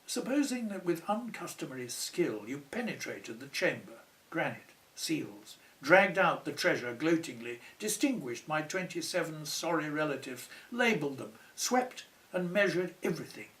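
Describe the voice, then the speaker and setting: A proud, secretive dead pharaoh speaks from deep in his tomb, as a poet recites him. The delivery is measured and solemn, with a slightly raised male pitch. The voice sits a little back from the microphone. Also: very animated, fairly bright tone. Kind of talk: poetry recitation. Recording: voice somewhat distant from the mic.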